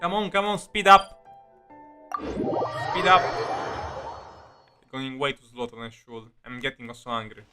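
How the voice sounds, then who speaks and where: A man's voice: mid-range voice, animated, a little slow, long pauses. A man streaming a game with casual live commentary, rambling, giggly and often repeating himself. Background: faint game music.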